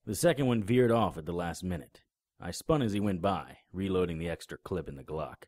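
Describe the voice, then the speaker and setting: An actor in an audio drama playing a cynical, sardonic, hard-boiled private investigator with a terse delivery. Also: male voice, low-pitched, expressive, fairly quick, a little mellow, no echo, studio quality. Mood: grim narration. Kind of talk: audio drama acting with noir narration.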